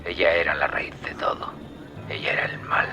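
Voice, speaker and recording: An actor with an accent from Spain playing a calm serial killer who recounts his crimes in a measured, sardonic way, in a radio drama. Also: low-pitched, whispered, music in the background. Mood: cold, brooding. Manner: measured, coldly matter-of-fact, darkly sardonic. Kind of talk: Spanish radio drama acting.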